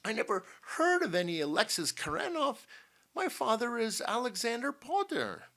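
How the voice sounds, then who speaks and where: A man voicing a frightened, indignant mother in an audiobook story, emphatic and high-strung, stammering when pressed. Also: wildly swooping pitch, moderate pace, bright, forceful tone, exaggerated voice.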